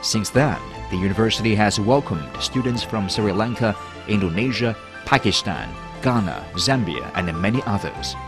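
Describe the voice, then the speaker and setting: A man reading a formal, measured promotional narration as a voice-over. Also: fairly low pitch, animated. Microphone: studio voice-over. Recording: voice-over over loud music. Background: music.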